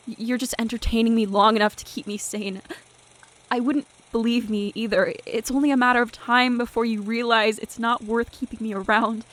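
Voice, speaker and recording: A woman's voice: on the high side, fairly bright tone, close to an everyday voice. A young woman playing an anxious, defiant divination student in an audio drama, speaking earnestly with a faltering, wounded delivery.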